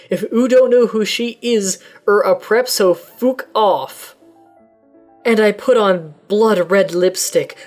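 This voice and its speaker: A woman giving a comedic dramatic reading of a fanfic in first person, deadpan and mock-dramatic, with deliberate mispronunciations. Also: medium pitch. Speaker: dramatic reader.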